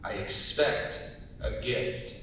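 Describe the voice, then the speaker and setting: A man's voice: slow, bright, forceful tone. A man playing a tipsy, domineering middle-aged king in a stage reading. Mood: boisterous, demanding. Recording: echoey hall, far mic, faint rumble.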